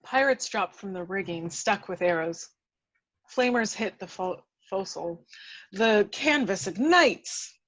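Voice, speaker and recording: Slow-paced woman reading stage directions. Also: mid-range voice, animated, fairly bright tone. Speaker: reader of action descriptions. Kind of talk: scripted narration at a table read.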